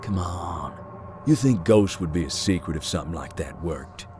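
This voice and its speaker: Calm, deep-voiced ghost hunter. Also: male voice, normal speed, a little hiss or hum. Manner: calm, matter-of-fact, dry. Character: calm young man who hunts ghosts. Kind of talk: visual novel voice acting.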